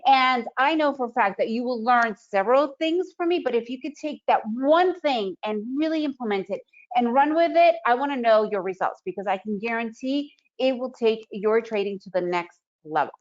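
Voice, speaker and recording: A middle-aged woman whose first language is Russian leads an online trading presentation in an energetic, motivational way. Her voice sits a little high. The webinar audio comes through slightly dull and lo-fi. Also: moderate pace. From Soviet Union. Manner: energetic, motivational, conversational with frequent "right?".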